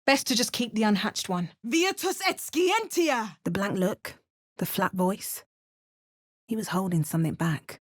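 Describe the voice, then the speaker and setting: A woman's voice: mid-range voice, animated, unhurried, no echo. A young woman voicing a bold female adventurer hero in a video game, dry and clipped, snapping out spell words. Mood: commanding, then reflective. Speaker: player character.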